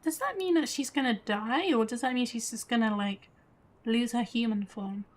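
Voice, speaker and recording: A woman's voice: on the high side, normal speed. A woman game streamer giving casual live reactions and commentary on a story game.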